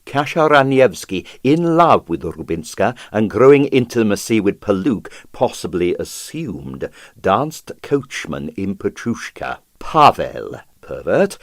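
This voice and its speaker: A man reads a detective story aloud in a theatrical, measured way. His pitch moves widely from phrase to phrase, often clipped, and the sound is tight and dry with no room around it. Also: sing-song, no echo. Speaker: audiobook narrator. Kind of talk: dramatized reading of a comic detective novel.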